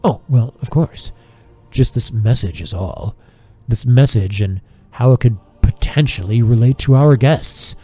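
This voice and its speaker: A man's voice: mid-range voice, measured pace, dark tone, breathy edge, dry recording. A man playing a scheming, self-righteous friar who speaks in pious, formal words for an audio drama. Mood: guarded, reasoning.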